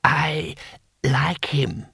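Halting, breathy ancient cruel emperor. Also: male voice, on the high side, fairly even intonation, sharp tone, no echo, clean studio sound, exaggerated voice. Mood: curious, amused. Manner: slow, halting, drawn-out. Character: ancient cruel emperor, gleeful, whimsically murderous old man. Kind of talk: audiobook character voice.

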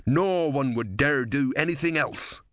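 A man's voice: mid-range voice, a little slow, a bit bright, no echo, clean studio sound, voice pushed up, close to an everyday voice. A man voicing an eager, insistent soldier who muses and calculates, in an audiobook. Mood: musing, calculating. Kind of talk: audiobook character voice.

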